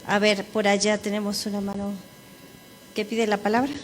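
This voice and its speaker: A woman's voice: mid-range voice, level tone, moderate pace, slight background noise. A woman formally moderating a conference, thanking and directing as the event's moderator. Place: conference hall.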